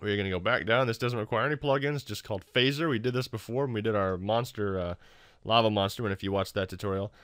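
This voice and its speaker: Low-voiced man narrating a casual tutorial. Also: fast talker, a bit bright, clean tone, no echo, studio quality. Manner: informal, self-deprecating, wry. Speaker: tutorial host.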